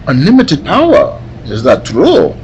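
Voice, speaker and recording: A man's voice: on the high side, huge pitch swings, unhurried, a little mellow, slight background noise. Man doing a scheming villain, wildly swooping voice. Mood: feigned curiosity. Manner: melodramatic, exaggerated. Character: scheming, megalomaniac male villain posing as professor. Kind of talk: 1940s radio serial acting. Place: live table read at a convention.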